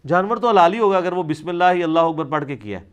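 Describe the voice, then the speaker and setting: A man's voice: sits high, expressive, rapid-fire, clean tone. A man answers religious questions in an informal, explanatory lecture, reasoning conversationally as a scholar. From South Asia.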